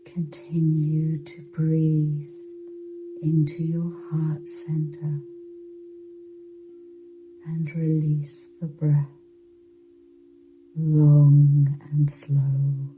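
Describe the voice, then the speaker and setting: A woman narrating a guided yoga nidra meditation in a soothing, hushed, unhurried voice with long pauses. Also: sits low, completely flat delivery, a little hiss or hum, rough recording.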